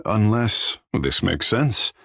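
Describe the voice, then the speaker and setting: Husky, swooping flamboyant godlike artist. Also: male voice, on the low side, big pitch swings, slow pace, bright, forceful tone, no echo, studio quality, heavily acted. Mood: guarded, curious. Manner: theatrical, florid, self-admiring, playful. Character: flamboyant, vain, flirtatious godlike sea-blessed male artist. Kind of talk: video game character dialogue.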